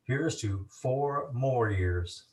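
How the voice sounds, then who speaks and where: A man playing a flattering political aide in a campy noir radio play. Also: medium pitch, very slow. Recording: noisy live stage recording.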